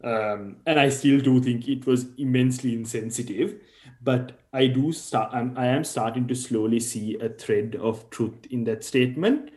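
Man speaking low and mellow in an echoey room. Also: moderate pace. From Sri Lanka. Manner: thoughtful, hedging with frequent "sort of". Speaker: podcast guest. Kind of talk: casual discussion.

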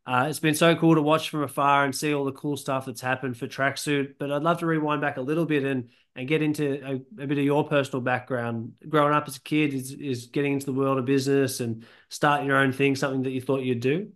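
A man's voice: mid-range voice, steady tone, fast, hardly any pauses, fairly bright tone. A man co-hosting a podcast, who asks his questions in a friendly, eager way with loose, "like"-filled phrasing. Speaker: podcast co-host. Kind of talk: casual podcast interview.

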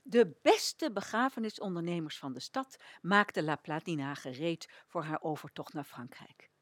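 Expressive woman narrator, very animated. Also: on the low side, normal speed, dry recording. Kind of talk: scripted radio play narration.

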